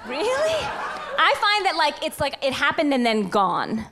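A woman's voice: sits high, wildly swooping pitch, a little slow, pushed and bright. A woman co-hosting a live comedy podcast on stage, bantering in a wry, exaggerated way. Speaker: podcast co-host. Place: on stage in a theater. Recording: live theater with audience laughter and noise. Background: laughing crowd.

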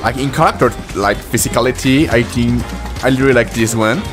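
A man in his twenties giving casual, halting, repetitive reaction commentary as a video host, recorded close up in a small room. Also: medium pitch, over loud music. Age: 20s. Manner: halting, repetitive phrasing with filler words. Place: small indoor room at a desk.

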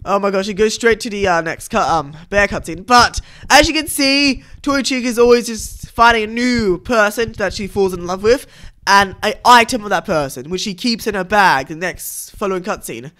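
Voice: medium pitch, expressive, moderate pace, a bit bright. A young adult YouTuber giving casual reaction commentary on game cutscenes.

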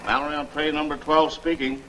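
An actor playing a middle-aged train conductor reporting in a businesslike way in a 1940s film serial. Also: male voice, fairly high pitch, little pitch movement, a little fast, a bit bright, slight background noise, lightly acted, poor audio.

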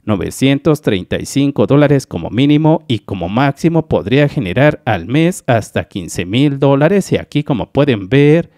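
Lively methodical man from Mexico. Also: mid-range voice, moderate pace, few pauses, no echo, clean studio sound. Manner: methodical, addresses viewers as dear friends. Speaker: YouTube channel analyst. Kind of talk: screen-recorded explainer voice-over.

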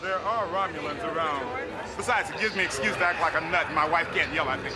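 A man's voice: on the high side, quick pace, a loud crowd, heavy background noise. A middle-aged man, a convention fan, answering casually and jokily in an interview. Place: crowded indoor convention hall.

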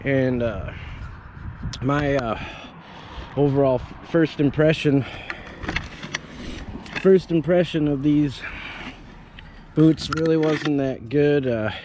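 Casual arborist, 30s, male, slightly higher voice, stop-start rambling delivery, outdoor action-cam sound with a faint ambient bed. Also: noisy background. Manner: rambling, halting, many fillers and restarts. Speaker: arborist reviewing gear. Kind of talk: casual gear review vlog. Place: up a tree outdoors in winter. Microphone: head-mounted action camera.

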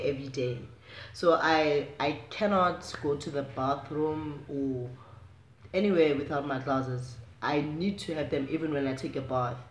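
A young South African woman with a low voice, chatting casually to the camera in a small room at home, animated and chatty. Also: a little hiss or hum. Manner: chatty, animated, gestures while talking. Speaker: vlogger. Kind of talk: casual direct-to-camera chat. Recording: echoey room.

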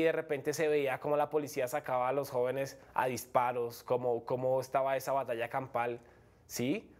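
A man's voice: on the high side, darkish tone. A young Colombian man with a Bogotá accent talks earnestly and frankly, his slightly raised voice stumbling over restarts and fillers. He sits as a guest on a studio set, picked up by a lapel mic. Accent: Bogotá Colombian Spanish. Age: young adult. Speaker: MMA fighter guest. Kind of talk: casual interview talk.

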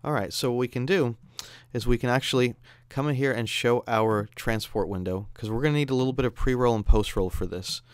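A man's voice: mid-range voice, fairly quick, smooth. A man narrating an instructional screencast in a conversational, explanatory way. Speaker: audio software instructor.

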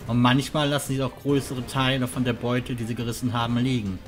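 A man acts a huntsman guide in a fantasy radio play, concerned and a little sceptical. His voice is medium in pitch and measured, with background music underneath. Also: little pitch movement, close to an everyday voice. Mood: explaining, sceptical. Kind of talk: fan-made fantasy audio drama acting.

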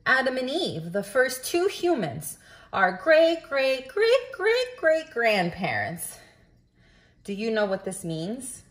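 A woman reading a children's book aloud in a deliberate, expressive way, as if speaking directly to young listeners. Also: high voice. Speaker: storybook reader. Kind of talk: children's read-aloud narration.

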